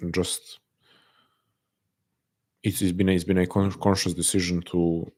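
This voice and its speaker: A man with a non-native English accent, often taken for Russian, hosting a casual live chat and answering viewers; soft-spoken and hesitant, with many restarts. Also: low voice, flat delivery, measured pace. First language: not English. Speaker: livestream host answering chat.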